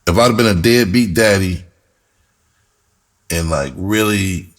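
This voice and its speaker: A man's voice: deep voice, unhurried. A middle-aged man telling personal stories casually as a host, candid and reflective, with streetwise slang. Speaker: podcast host and music artist.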